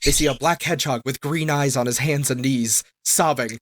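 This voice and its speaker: A man's voice: mid-range voice, normal speed, no room sound. A man reading dramatic narration aloud for a comedy fandub, prone to cracking up. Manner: prone to cracking up mid-line. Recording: music behind the voice.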